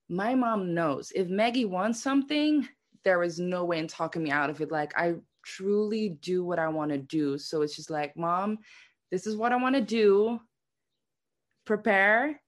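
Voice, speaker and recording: A woman in her twenties from the Netherlands, a dancer chatting casually as the guest of an interview. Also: on the low side. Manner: chatty, says "like" a lot.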